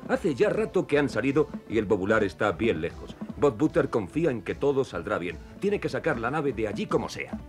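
Expressive male cartoon narrator, lively intonation. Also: medium pitch, brisk, hardly any pauses, music in the background. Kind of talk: scripted storybook narration for children's cartoon.

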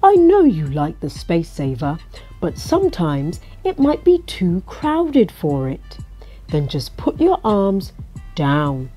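A woman voicing a patient, kindly hippo dad in a children's story, explaining things gently to his child. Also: on the low side, wildly swooping pitch, slow pace, breathy edge, soft background music, voice pushed down, exaggerated voice, poor audio. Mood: gently advising.

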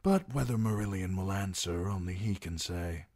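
A man voicing a sly, courteous lord in a fantasy audiobook, speaking in a mild, measured, apologetic way. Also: deep voice, darkish tone, no echo, clean studio sound. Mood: courteous, unruffled. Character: smooth, soft-spoken, sly, courteous lord.

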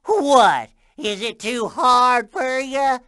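A woman's voice: sits high, lively intonation, very slow, a bit bright, no echo, studio quality, exaggerated voice. A woman playing a folksy, playful honey-country game host with a drawling Southern US accent, cheerfully teasing. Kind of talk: scripted video game character dialogue.

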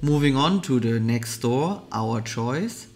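Male vlog host, mid-pitched, keen explainer voice-over with light music behind. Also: animated, takes it slowly. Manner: enthusiastic, explanatory product commentary. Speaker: vlogger, watch channel host. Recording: soft music underneath.

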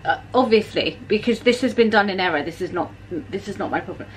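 Woman, 30s, home vlogger, chatty and exasperated, mid pitch, faint room noise behind. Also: a little fast, rough recording. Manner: chatty, exasperated storytelling with swearing. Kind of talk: casual chat to camera. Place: home kitchen, indoors. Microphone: camera at arm's length.

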